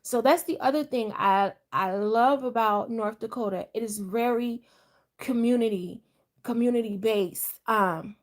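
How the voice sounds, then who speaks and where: Middle-aged woman, slightly high voice, casual rambling livestream host. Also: measured pace. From Delaware, US. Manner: rambling, conversational, frequent restarts. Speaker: vlogger. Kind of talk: casual live chat.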